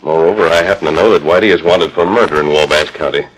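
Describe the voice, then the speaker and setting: A man playing a resolute, fair-minded western hero in a 1940s radio drama, with measured, authoritative, formal diction. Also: a bass voice. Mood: resolute, serious. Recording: noisy 1941 radio transcription disc.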